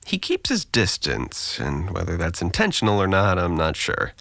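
A man's voice: low voice, huge pitch swings, moderate pace, creaky, pushed and bright, dry recording, heavily acted. An actor playing a sarcastic, guarded, depressed young man with dry wit and an articulate, deflecting manner in an audio drama. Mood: detached, weary.

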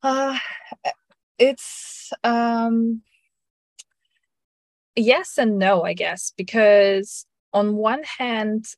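A woman from Russia in her thirties, talking reflectively in a casual chat, with soft laughs and frequent restarts. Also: fairly high pitch, extremely slow, pauses often, no echo. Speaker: guest sharing her story.

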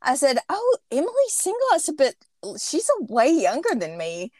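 A woman's voice: high voice, huge pitch swings, normal speed, darkish tone, no echo, studio quality. A woman in her thirties hosting a podcast, telling a rambling, chatty story. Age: 30s. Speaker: podcast host. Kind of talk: casual chat.

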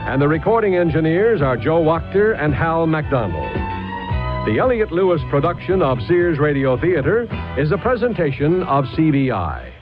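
Credits announcer: man, animated, formal scripted delivery, muffled old radio recording over music. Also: on the high side, moderate pace. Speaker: show announcer.

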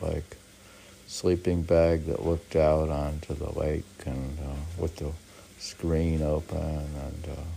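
Very deep, gravelly male poet. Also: mellow, a little hiss or hum, poor audio. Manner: musing, asks and answers own questions. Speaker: poet reading own work. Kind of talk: reading poems aloud with rambling off-the-cuff commentary.

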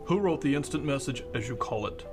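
A man's voice: mid-range voice, animated, a little fast, music in the background, natural voice. A man playing a brusque, commanding corporate boss in an audio drama. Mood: stern. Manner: curt, commanding. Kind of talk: scripted audio drama acting.

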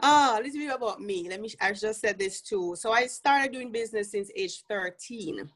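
A woman's voice: mid-range voice, normal speed, a bit bright. A woman from Jamaica with a Jamaican accent, a business coach speaking as an interview guest in an earnest way, with easy laughs and many fillers.

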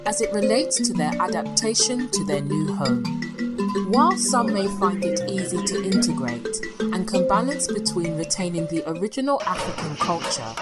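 A woman reading a scripted introduction to a podcast in a measured announcing manner. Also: lowish voice, very animated. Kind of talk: scripted narration. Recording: narration over loud music.

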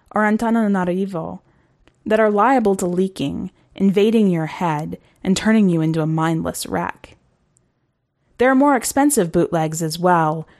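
Slow, measured woman narrator. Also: on the low side, darkish tone. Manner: measured, deliberate. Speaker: podcast host and story reader. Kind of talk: scripted narration, literary short story.

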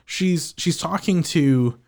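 A man co-hosting a podcast, chatting casually about a movie, animated and often restarting his sentences with a laugh. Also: a little high, takes it slowly. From Filipino heritage, living in the United States. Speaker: podcast co-host. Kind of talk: casual movie review chat.

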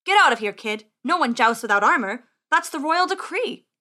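A woman's voice: high voice, expressive, normal speed, sharp tone, voice pushed up. A woman voicing a dismissive male tournament scribe in a storybook, curt and scornful. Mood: mocking. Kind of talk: audiobook character acting.

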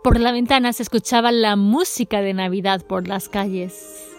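A woman's voice: mid-range voice, flat delivery, moderate pace, soft background music. A woman reading scripted storybook narration as the narrator of an audio drama.

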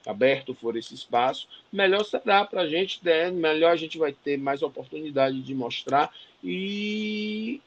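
A man's voice: on the high side, a little hiss or hum. Passionate Bahian man, slightly muffled audio. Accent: Bahian Brazilian Portuguese. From Bahia, Brazil. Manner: passionate, emphatic, frequent "né" tags and restarts. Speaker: interview guest. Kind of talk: conversational interview.

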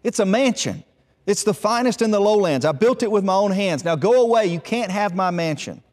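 A hostile brother guarding his home as a male storyteller plays him, in a big echoing hall. His voice jumps high and he is curt, defiant and possessive. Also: animated, fairly quick, a little mellow. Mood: possessive, angry. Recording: long hall reverb.

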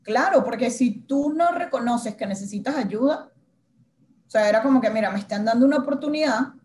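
A woman who works as a TV news reporter tells her story in a conversational interview, acting out the dialogue with lively energy. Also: on the high side, fairly quick. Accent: Spanish-speaker's accent in English.

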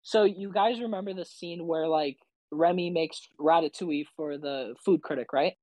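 A young woman co-hosting a podcast, rambling casually in quick bursts full of fillers. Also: sits low, mellow, dry recording, low-quality recording. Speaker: podcast co-host. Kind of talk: casual chat.

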